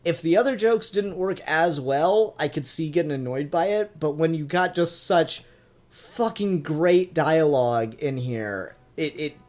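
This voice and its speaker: A man's voice: on the high side, a little slow, a little mellow. A man co-hosting a podcast, chatting casually and enthusiastically as a fan reviewer, with plenty of swearing.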